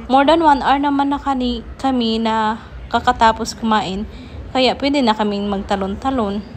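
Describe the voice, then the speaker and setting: A woman's voice: a little high, a little hiss or hum. A Filipino woman narrating a casual vlog, chattily addressing her viewers. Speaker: vlogger.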